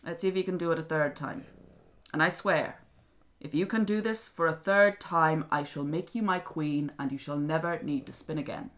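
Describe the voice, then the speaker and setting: A woman's voice: low voice, normal speed, a bit bright. Woman playing a stern, imperious fairy-tale king. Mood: commanding. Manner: commanding, declamatory. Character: imperious suspicious storybook king, stern and demanding.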